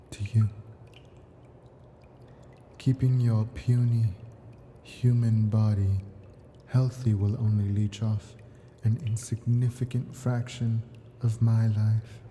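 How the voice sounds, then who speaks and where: An ancient male dragon, played by a man, speaks in a low, very soft-edged dark voice at a slow pace. He is possessive and coaxing, with an imperious menace beneath the doting. It is an intimate ASMR fantasy roleplay. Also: a little hiss or hum. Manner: slow, coaxing, imperious, formal storybook diction.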